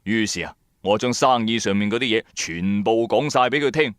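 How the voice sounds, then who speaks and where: A man narrating a radio drama in the first person, colloquial, wry and expressive. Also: fairly low pitch, normal speed, no echo, clean studio sound. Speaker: storyteller, narrator.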